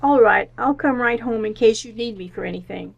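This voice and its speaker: A dutiful teenage elder sister in a radio family comedy. Her voice is a bit high with a creaky edge, on a slightly dull recording. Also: brisk. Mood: helpful. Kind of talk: old-time radio family comedy acting.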